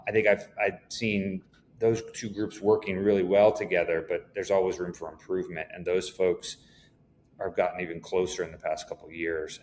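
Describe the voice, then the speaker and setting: A man speaking as an executive guest in a conversational business interview, explaining things in a measured way. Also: sits low, slight background noise.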